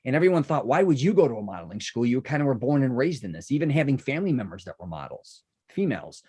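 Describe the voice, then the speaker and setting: Chatty podcast host, rambling and warm-up eager. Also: male voice, mid-range voice, fast talker, slightly rough. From Chicago. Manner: rambling, lively, full of asides and restarts. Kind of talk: casual interview chat.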